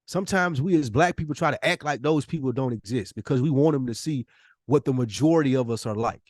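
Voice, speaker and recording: A man's voice: medium pitch, fast talker, no echo, studio quality. A man co-hosting a podcast in casual, slangy debate, arguing his points emphatically and swearing freely. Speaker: podcast co-host.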